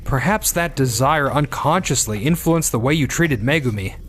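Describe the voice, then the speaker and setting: An actor playing a shrewd, blunt company boss in a manga dub, with a pointed way of talking. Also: male voice, medium pitch, animated, moderate pace, lightly acted. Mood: probing, blunt.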